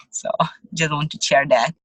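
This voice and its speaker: Slow, hesitant woman, native Czech speaker. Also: low voice, steady tone, fairly bright tone, breathy edge, dry recording, rough recording. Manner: hesitant, many fillers and laughs. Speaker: parent in a book club. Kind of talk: casual group discussion. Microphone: video call.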